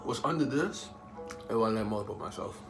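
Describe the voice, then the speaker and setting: A young man from the Bahamas chats through a vlog Q&A with a laid-back, joking manner and plenty of street slang. His voice sits at an everyday middle pitch. Music plays clearly behind him. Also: expressive, a little fast. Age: young adult. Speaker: vlogger answering viewer questions. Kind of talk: casual slangy Q&A chat.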